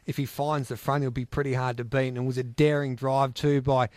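A man's voice: mid-range voice, level tone, a little fast, darkish tone, no echo. Aussie guy hosting a racing show, chatty, ribs his mates, dead dry sound. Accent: Australian. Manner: teasing, chatty, asks questions. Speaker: harness racing podcast host. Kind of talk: casual racing chat.

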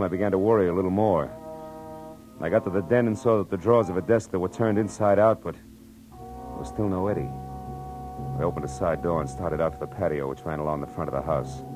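A tough, wisecracking private detective played by a man in an old American radio drama. The voice sits very low and moves briskly, with music under the clipped, wry narration. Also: lightly acted, poor audio. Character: hard-boiled, wisecracking male private detective narrating his case. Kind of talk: 1940s radio detective acting and first-person narration.

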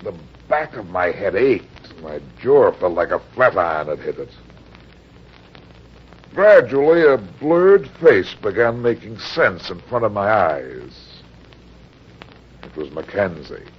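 Tough, husky radio detective. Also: male voice, lowish voice, big pitch swings, slow, heavily acted. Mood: wry narration. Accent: American. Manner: terse, hard-boiled, slangy. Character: tough, commanding, wry private detective. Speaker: detective, lead and narrator. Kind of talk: old-time radio detective drama. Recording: muffled old radio broadcast recording.

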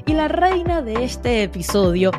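A woman's voice: on the high side, big pitch swings, unhurried, music in the background. A woman hosting a podcast, chatty and effusive with her praise. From Venezuela. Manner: gushing, effusive. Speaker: podcast host. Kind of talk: casual interview chat.

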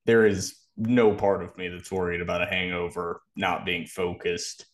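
A man's voice: deep voice, flat delivery, moderate pace. A man co-hosting a sports podcast, giving his opinions and analysis on football.

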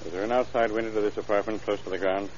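An actor playing a folksy, shrewd police lieutenant in an old-time radio drama. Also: male voice, fairly low pitch, fairly even intonation, very fast, darkish tone, natural voice. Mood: calm, probing. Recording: dull, hissy old radio recording.

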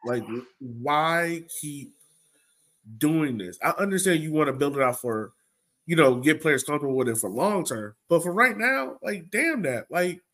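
A man's voice: a little high, expressive, a little slow, fairly bright tone. A man hosting a sports podcast in a casual, colloquial way, sounding exasperated and throwing out rhetorical questions. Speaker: podcast host.

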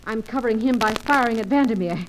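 A woman's voice: on the high side, quick pace, natural voice. An actress playing a plucky, worried female adventurer in an old radio serial, earnest and urgent. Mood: tense, steady. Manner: earnest, urgent, crisp pleading. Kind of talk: 1940s-50s radio adventure serial acting. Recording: crackly old radio recording.

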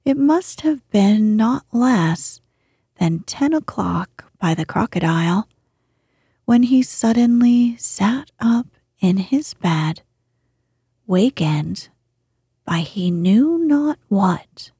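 A woman with a breathy, hushed voice reading bedtime fiction as a podcast host, gently and with measured phrasing. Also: medium pitch, lively intonation, no room sound, studio quality. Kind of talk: soft, soothing bedtime story reading.

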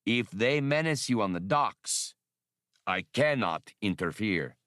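A man's voice: fairly low pitch, expressive, takes it slowly, fairly bright tone, dry recording, clean studio sound. A man voicing a huge, battle-scarred old creature in a storybook, proud and defiant, speaking formally with a whistling voice. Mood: stern warning. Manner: solemn, formal, declamatory.

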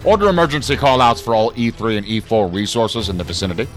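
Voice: mid-range voice, big pitch swings, fast, soft background music, natural voice. A man playing a grim, commanding federal agency director in a sci-fi audio drama, giving terse authoritative orders.

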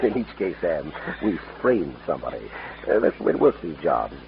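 An actor playing a smug, cold-blooded outlaw who poses as a cultured French gentleman, suave and pompous, in an old radio western. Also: rumbling low, sing-song, a little fast, gravelly, heavily acted. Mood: smug, gloating. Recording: muffled, hissy old radio recording.

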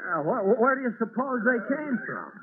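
An actor playing an eager, good-natured fire rescue man in an old radio adventure serial, stammering and excitable. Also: extremely high pitch, a little fast, crowd noise. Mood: urgent, excited. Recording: tinny, band-limited old radio recording.

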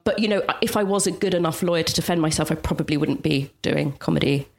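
Fast stammering flustered woman comic. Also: low-pitched, gravelly, a little mellow. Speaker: comedian guest. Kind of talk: flustered comic interview chat.

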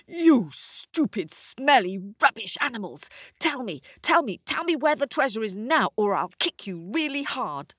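A woman voicing a mean, greedy young brother in a storybook, shouting impatiently. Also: a little high, very animated, measured pace, no echo, clean studio sound, voice pushed up, exaggerated voice. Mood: furious.

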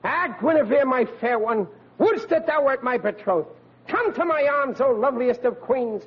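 High-pitched bashful schoolboy. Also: male voice, animated, slightly rough, full character voice. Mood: lofty, romantic. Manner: stammering, halting, overblown when reciting. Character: bashful, clumsy schoolboy acting hero in a play. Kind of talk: 1940s radio comedy acting. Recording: dull noisy old radio recording, loud audience.

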